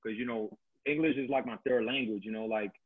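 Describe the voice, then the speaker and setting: A man's voice: mid-range voice, fairly quick, husky. Queens man with a New York accent. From born in Korea, raised in Queens. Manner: laid-back, heavy fillers like "you know". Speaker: contest finalist guest. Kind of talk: casual video call chat. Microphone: video call. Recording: tinny phone-like line.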